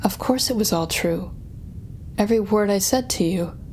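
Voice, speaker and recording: A woman's voice: mid-range voice, a little mellow, a little hiss or hum, lightly acted. A woman playing a sardonic, smug telepathic supervillain, taunting and theatrical as she speaks to the listener in an audio roleplay drama. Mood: probing, sly. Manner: taunting, theatrical, drawn-out teasing phrasing.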